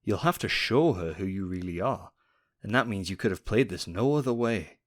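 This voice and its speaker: Deep male officer, voiced by an actor, dry close sound, composed, loyal and wry, for sci-fi audio drama. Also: lively intonation, moderate pace, no echo, lightly acted. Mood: calm, wry. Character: loyal, composed, quietly teasing military captain.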